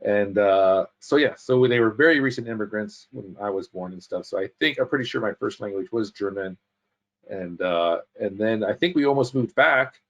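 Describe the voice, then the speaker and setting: A man's voice: fairly low pitch, moderate pace, lo-fi sound. A man in his fifties, a guest on an interview show, telling his life story in a rambling, self-correcting way. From United States. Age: 50s. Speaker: podcast guest. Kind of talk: casual interview chat.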